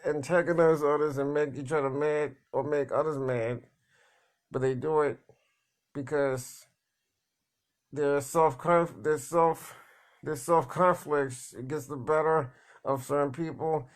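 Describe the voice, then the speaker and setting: A man talking in a casual, rambling monologue, halting and repeating words, blunt and plain-spoken. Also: on the high side, fairly even intonation. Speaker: vlogger.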